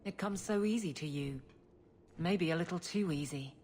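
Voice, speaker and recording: Low-voiced, blunt woman guard captain played by an actress. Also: normal speed, a little mellow, natural voice. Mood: candid, teasing. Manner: dry, firm, no-nonsense. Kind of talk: video game cutscene acting. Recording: game audio with background ambient noise.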